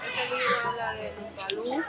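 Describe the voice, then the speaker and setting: A woman selling crafts, giving brief, quiet answers. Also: fairly high pitch, steady tone, normal speed. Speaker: craft seller. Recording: recording with loud music behind.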